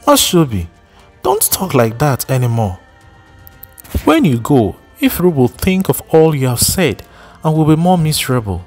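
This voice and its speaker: A man's voice: a little high, sing-song, unhurried, a little mellow, soft background music, voice pushed up, exaggerated voice. A man doing a stern, protective older woman. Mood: scolding. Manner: stern, commanding, then coaxing.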